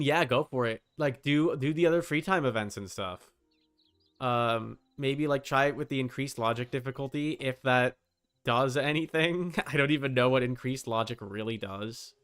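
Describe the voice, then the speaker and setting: A man's voice: mid-range voice, moderate pace, fairly bright tone, clear, resonant tone, soft background music. A man hosting a game livestream, talking casually and informally in a rambling way, thinking aloud as he goes.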